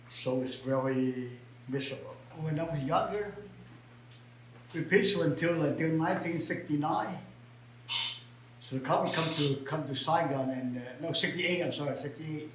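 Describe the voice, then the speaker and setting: A man's voice: on the high side, a little hiss or hum. Older Vietnamese-accented man, echoey room. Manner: halting broken English, many repeats, rising to emphatic shouts. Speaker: interviewee. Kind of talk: personal storytelling.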